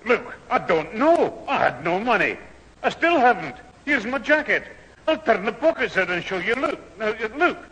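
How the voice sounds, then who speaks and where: A grumpy old grandfather, with a high, sharp voice that swoops in exasperation. The performance is broad radio sitcom from the 1970s, heard in a roomy, hissy old recording. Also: big pitch swings, moderate pace, full character voice. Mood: exasperated, grumpy.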